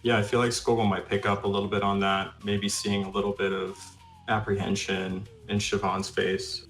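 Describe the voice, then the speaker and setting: A man's voice: fairly low pitch, level tone, normal speed, a bit bright, faint music behind, low-quality recording. A man playing a tabletop game, casually describing what his character does.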